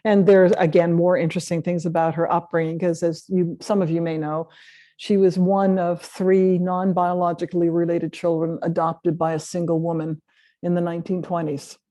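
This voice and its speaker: A woman with a fairly low, mellow voice gives a history talk and answers questions from a live audience. She speaks conversationally and keeps affirming listeners with quick "yeahs". She often breaks off and restarts her sentences. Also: little pitch movement. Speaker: presenter of a local history talk. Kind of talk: lecture and audience Q&A. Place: meeting room with a live audience.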